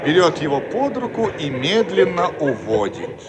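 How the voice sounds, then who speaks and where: A man's voice: on the high side, very animated, normal speed, heavy background noise. A man narrating a radio play, reading scripted stage directions. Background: music.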